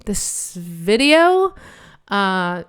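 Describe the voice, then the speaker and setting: Israeli-accented woman in her thirties, Hebrew teacher, smooth clear voice, animated playful explaining. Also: medium pitch, extremely slow. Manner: lively, expressive explaining with playful acted examples. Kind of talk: online language lesson.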